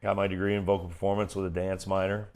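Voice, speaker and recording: A middle-aged American man with a booming baritone, chatting casually as an interview guest. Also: little pitch movement, quick pace, a little mellow. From Kansas City area, United States. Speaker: guest, event MC and voiceover artist. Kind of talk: casual interview chat with stories.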